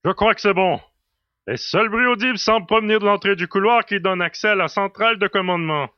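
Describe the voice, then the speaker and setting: A man's voice: high-pitched, a little fast, no room sound, lo-fi sound, natural voice. A man playing a giant space colonel, decisive and commanding, in a science fiction audio drama. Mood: confident.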